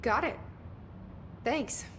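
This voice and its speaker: A woman's voice: on the high side, expressive, very slow, a little hiss or hum. A woman playing a guarded, inquisitive newcomer heroine in a video game, speaking in a terse, wry way. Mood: wry, questioning. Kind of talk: video game dialogue acting.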